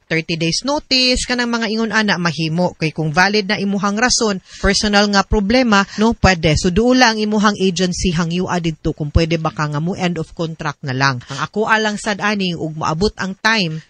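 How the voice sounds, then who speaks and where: A woman with a Cebuano Filipino accent gives advice as a radio host. Her voice is bright and forward, recorded close and dry. She explains in a conversational way, often tagging phrases with a quick check for agreement. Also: medium pitch, no room sound.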